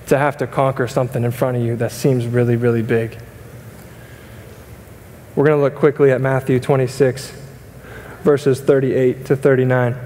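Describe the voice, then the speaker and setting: A man's voice: fairly high pitch, a little mellow. A young man preaching a sermon from a church stage, earnest and conversational, with many fillers and restarts. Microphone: stage mic, wide camera. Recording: long reverb of a church hall.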